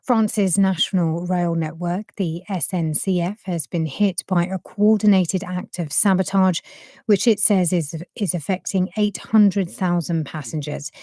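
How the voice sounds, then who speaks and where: A woman's voice: on the low side, steady tone, moderate pace, warm tone, no echo, clean studio sound. A woman reading scripted world news for broadcast.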